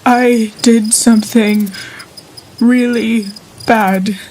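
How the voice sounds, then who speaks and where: Woman playing a high, halting, guilt-ridden runaway. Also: steady tone, darkish tone. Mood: anguished. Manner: halting, pleading, repetitive. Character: guilt-ridden, unstable starving runaway begging forgiveness. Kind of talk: apocalyptic western audio drama acting. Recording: faint noise with cricket ambience.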